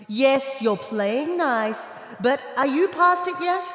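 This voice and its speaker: A woman's voice: high voice, expressive, slow pace, fairly bright tone, exaggerated voice. A woman playing a sardonic, lecturing witch in a fantasy audio drama, dry and taunting. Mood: pointed. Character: sardonic, lecturing witch, a voice inside a girl's head. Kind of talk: full-cast fantasy audio drama acting. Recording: echoey reverberant voice, faint music.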